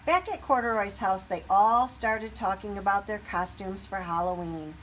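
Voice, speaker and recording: A woman reading a children's picture book aloud expressively, pausing to put questions to young listeners. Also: mid-range voice, a little hiss or hum, poor audio.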